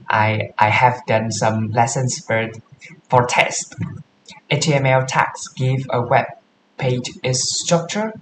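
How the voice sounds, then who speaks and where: A man from Vietnam with a Vietnamese accent, live streaming as a coding beginner and reading course text aloud in halting English. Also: mid-range voice, echoey room, slight background noise, rough recording. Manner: halting, often stops to read and correct himself.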